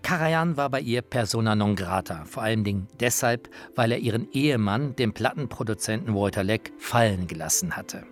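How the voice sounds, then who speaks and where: A man's voice: lowish voice, expressive, fairly quick, few pauses, soft background music. A man reading scripted narration for a radio feature as its narrator.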